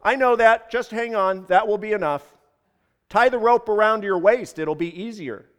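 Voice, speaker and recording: A man's voice: sits high, unhurried. A man voicing a demanding man who dangles from a rope and calls out insistently in a dramatized story. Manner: loud, insistent calling out.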